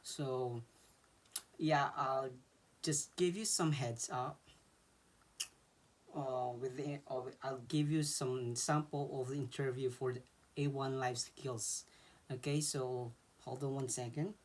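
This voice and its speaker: Filipino man, 30s, vlogger: slow, easygoing advice with lots of restarts, recorded in a small bedroom. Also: mid-range voice. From Philippines. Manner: relaxed, repeats words and fills with uh. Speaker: vlogger explaining an exam. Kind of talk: casual advice vlog.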